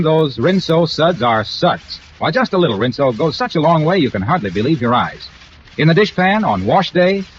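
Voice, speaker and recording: A man announcing and pitching a sponsor on 1940s radio with a polished, emphatic sales delivery. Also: a little hiss or hum. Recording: muffled old radio broadcast.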